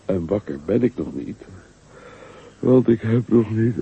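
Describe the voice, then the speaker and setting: An actor playing a young farmhand who grumbles and talks to himself in a radio play. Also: male voice, on the low side, expressive, a little slow, vocal fry, husky, exaggerated voice. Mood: grumbling. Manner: grumbling, self-talking. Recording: dull lo-fi with faint noise.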